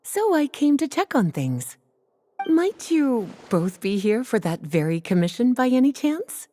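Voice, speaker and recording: A woman's voice: fairly high pitch, sing-song, a little slow, warm tone, no echo. A woman playing a playful, sultry librarian in a video game, speaking in a coy, teasing manner. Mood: amused, relaxed. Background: music.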